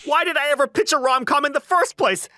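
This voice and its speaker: A man playing a flustered, introverted high school boy and aspiring writer in an anime-style comic dub, animated and prone to exasperated lecturing. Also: quick pace, dry recording, faint music behind. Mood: exasperated, frantic.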